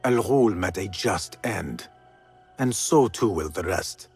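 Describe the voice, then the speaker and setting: An actor playing a troubled, earnest young assassin in a video game. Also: male voice, sits low, animated, slow pace, husky, full character voice. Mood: resolute, wry. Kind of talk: dramatic video game dialogue. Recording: loud music behind.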